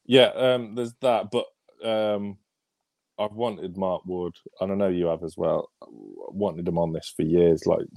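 A young man with a croaky, hoarse voice from a cold, co-hosting a casual podcast with hesitant speech full of ums. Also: low-pitched, slow pace, darkish tone, no echo. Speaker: podcast co-host. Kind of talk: casual football podcast chat.

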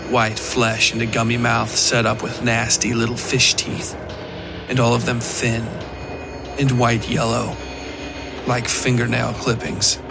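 A man reading a scripted dark fiction story as the narrator of a horror podcast. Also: mid-range voice, creaky.

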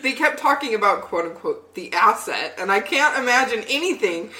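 A young woman co-hosting a podcast, telling a casual story in an animated way and laughing often. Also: on the high side, normal speed. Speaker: podcast co-host. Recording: roomy echo.

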